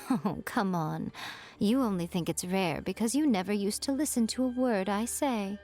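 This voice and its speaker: A woman's voice: medium pitch, normal speed, darkish tone, soft background music, natural voice. A woman voicing a sly, teasing fox-spirit shrine priestess in a video game, playful and wry in her speech.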